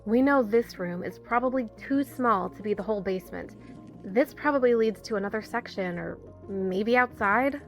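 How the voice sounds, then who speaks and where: A woman's voice: medium pitch, moderate pace, faint music behind, natural voice. A woman acting a determined, open-minded survivor in an audio drama, earnest and persuasive.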